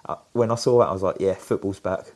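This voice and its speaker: Man, chatty and rambling, fairly low voice, football podcast. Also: quick pace, darkish tone. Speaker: podcast co-host. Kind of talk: casual football chat.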